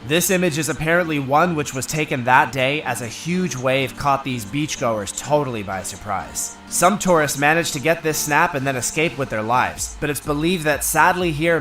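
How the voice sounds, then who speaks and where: A man reading scripted documentary narration in a steady, informational way. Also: mid-range voice, normal speed, hardly any pauses, soft background music.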